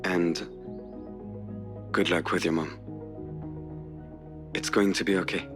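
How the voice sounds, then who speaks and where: A man's voice: low-pitched, fairly even intonation, slow, over music. A man playing a weary, wry, grieving ex-partner with dry, subdued delivery in a video game drama. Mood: gentle, resigned. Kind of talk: understated video game voice acting.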